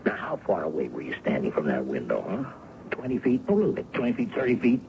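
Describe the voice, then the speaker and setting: Folksy, low-voiced middle-aged father. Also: very animated, fast, gravelly, slight background noise. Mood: exasperated. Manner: folksy, fond, exclamatory. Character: indulgent, blustering middle-aged father of grown daughter. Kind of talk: old-time radio drama acting. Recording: muffled old radio recording, music.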